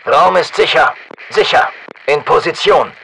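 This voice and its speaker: Masked soldier, terse and commanding, gravelly, piercingly bright, game radio with faint noise. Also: male voice, extremely high pitch, animated, normal speed, exaggerated voice, poor audio. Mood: low, controlled. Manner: clipped, commanding. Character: masked male special forces soldier, terse and battle-hardened. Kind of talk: military radio callouts in a shooter game dub.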